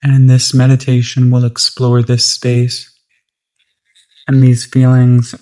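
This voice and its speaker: Very mellow, slow meditation guide. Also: mid-range voice, monotone. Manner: gentle, unhurried, long pauses between phrases.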